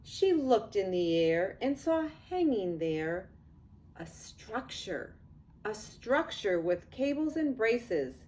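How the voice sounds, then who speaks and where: Slow-paced older woman reading a storybook. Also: mid-range voice, slight background noise. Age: 60s or older. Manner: expressive, unhurried storytelling to young children. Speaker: grandmother reading a picture book to children. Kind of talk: storybook read-aloud with casual asides.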